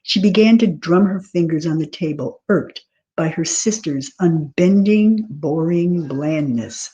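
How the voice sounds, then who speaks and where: A woman author giving an expressive literary reading of scripted narration from a novel. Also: sits low, measured pace, highs cut off. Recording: clear background noise.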